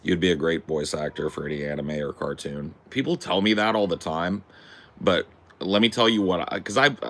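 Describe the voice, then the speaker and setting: A man with a deep, distinctive voice talking in a casual, rambling way, opinionated and emphatic, swearing freely. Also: fairly even intonation, moderate pace, fairly bright tone. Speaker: host.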